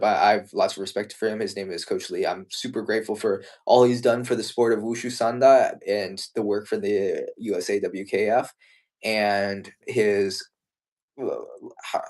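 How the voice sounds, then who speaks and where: A young man from the United States talks martial arts in a relaxed live chat. His voice sits fairly low. He sounds earnest and thoughtful, often starting a sentence twice before it comes out. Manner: earnest, thoughtful, with frequent restarts. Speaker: martial arts competitor and co-host. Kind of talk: casual live-stream chat.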